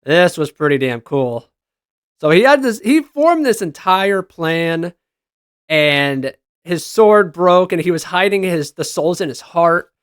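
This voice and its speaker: A man's voice: high-pitched, animated, slow, smooth, no echo, clean studio sound. A man giving casual, enthusiastic reaction commentary as a gaming video creator, with slangy exclamations.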